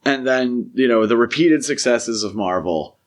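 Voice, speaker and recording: A man with an average-pitched voice talks as a podcast co-host. His delivery is conversational and informal, full of little verbal fillers as he discusses films. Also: moderate pace. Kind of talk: casual film discussion.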